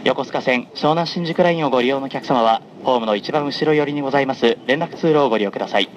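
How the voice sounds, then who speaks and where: A man with a medium-pitched voice gives formal train announcements as the conductor. His delivery is measured and polite, read from a script. The clear noise of the moving train runs along with his voice. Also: highs cut off. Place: onboard a running train, over the announcement system. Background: train running noise.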